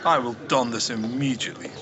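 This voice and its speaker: A man acting a gentlemanly, cerebral Victorian detective with a formal, precise, courtly manner in a radio drama. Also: mid-range voice, brisk, noisy background, lightly acted. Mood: proud, intrigued.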